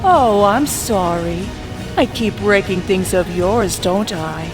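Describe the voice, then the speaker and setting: A woman's voice: medium pitch, lively intonation, music in the background, low-quality recording. A woman playing a taunting, mock-sweet villain warrior in an audio drama. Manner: slow, mock-apologetic.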